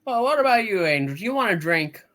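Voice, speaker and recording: A man's voice: high voice, sing-song, fast, pushed and bright, exaggerated voice. A man playing a troubled, skeptical everyman in a hammed-up radio play reading, hesitant and folksy with stammered starts. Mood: bewildered. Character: troubled, skeptical, despairing everyman in old film.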